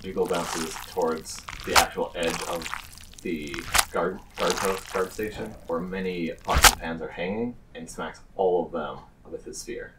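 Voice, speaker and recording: A man's voice: low voice, steady tone, unhurried, recorded from a distance, very noisy. A man running a tabletop game as game master, narrating casually.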